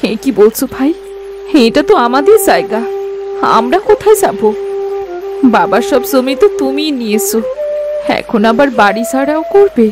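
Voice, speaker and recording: Woman acting a high-voiced, pleading poor mother. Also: big pitch swings, normal speed, long pauses. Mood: distressed, resigned. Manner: pleading, anguished. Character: poor, pleading mother wrongly accused of theft. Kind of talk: cartoon moral-story dialogue. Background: music.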